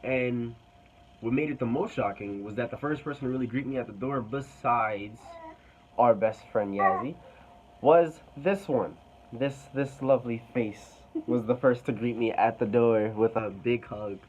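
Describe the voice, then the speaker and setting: A man's voice: mid-range voice, a little slow, a little mellow, dull audio, slight background noise. A young man casually telling a personal story to camera, hesitant and rambling with many fillers.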